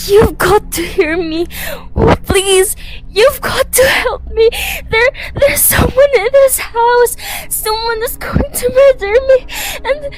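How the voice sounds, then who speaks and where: A woman plays a nervous, imperious invalid wife in a suspense radio play. Her voice is extremely high and agitated, stumbling over words as she frets and demands, with faint music underneath. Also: slow pace, rough recording. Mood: panicked. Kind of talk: suspense radio drama acting.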